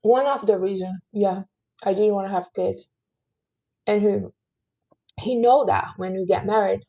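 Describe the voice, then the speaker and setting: Woman, late 30s, livestream host, earnest advice-giver, relaxed tone with breathy texture. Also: medium pitch, a little slow, poor audio. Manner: chatty and earnest, giving life advice to viewers. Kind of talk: casual livestream chat.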